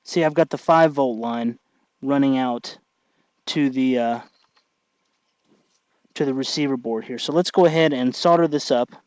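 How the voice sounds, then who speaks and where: A man narrating a casual hands-on tutorial, thinking aloud in a halting way as he works. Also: mid-range voice, a little mellow, dry recording. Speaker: tutorial host.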